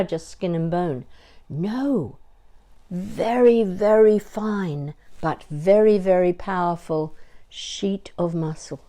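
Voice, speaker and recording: An older woman giving a conversational demonstration as a voice coach, deliberate and emphatic, pausing between short phrases. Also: mid-range voice, mellow.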